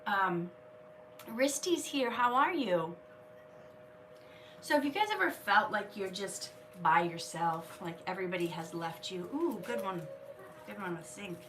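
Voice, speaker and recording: Slow-talking woman with a cold. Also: mid-range voice, animated, far from the mic, background noise. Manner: chatty, reads viewer comments aloud. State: has a cold, coughing. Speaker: live stream host. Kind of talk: casual live stream chat with viewers.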